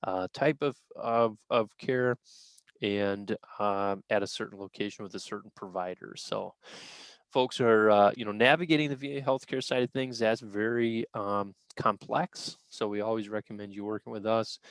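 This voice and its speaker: An American man giving an informal benefits briefing as a presenter, explaining in a halting way with frequent pauses mid-sentence. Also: on the low side, no echo. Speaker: county veterans service officer presenting benefits. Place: virtual briefing, dry-sounding room.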